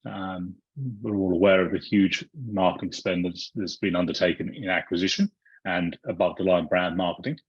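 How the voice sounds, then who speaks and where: A man's voice: low-pitched, steady tone, normal speed, husky, dry recording. A man from the UK, speaking as a panelist on an online panel with wry remarks and frequent restarts. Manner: wry, thinking aloud with many restarts. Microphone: video call. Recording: muffled dry video call audio.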